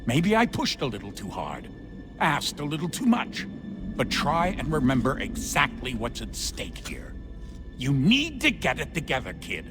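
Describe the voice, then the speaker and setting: A man's voice: mid-range voice, wildly swooping pitch, measured pace. An actor playing a middle-aged father in a video game, stern and demanding, talking curtly to his son. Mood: pleading stern. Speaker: father of the lead character. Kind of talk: video game cutscene dialogue. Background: music.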